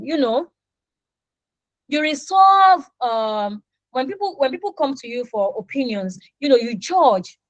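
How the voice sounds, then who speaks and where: A woman's voice: fairly high pitch, no room sound. A Nigerian woman in her thirties, a native Yoruba speaker, teaching an online English class and playfully acting out example dialogues. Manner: animated, acts out little dialogues with students.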